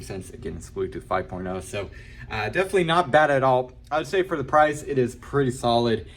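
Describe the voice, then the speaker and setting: Regular guy doing an unboxing review, medium pitch, kinda halting, hedges a lot, super informal. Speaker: product reviewer. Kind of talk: casual unboxing and review talk.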